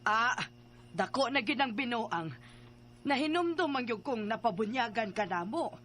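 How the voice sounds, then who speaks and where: Radio play: older mother, somewhat high-pitched, Cebuano-accented, fed up and sure of herself. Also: expressive, measured pace, a bit bright, soft background music. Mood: exasperated. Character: exasperated, insistent older mother. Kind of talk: radio drama acting.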